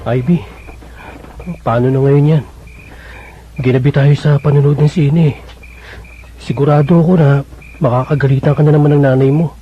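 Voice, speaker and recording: An actor with a Filipino accent playing a timid, smitten young suitor, hesitant and stammering, in a radio drama. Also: male voice, medium pitch, fairly even intonation, moderate pace, pauses often, slight background noise, lightly acted. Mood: worried. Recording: dull lo-fi radio broadcast.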